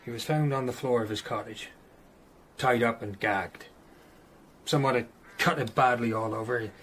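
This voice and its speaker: A man's voice: medium pitch, moderate pace, a bit bright, slight background noise, close to an everyday voice. A man acting a plain rustic villager in a radio drama, a grim witness who talks in a blunt, matter-of-fact way.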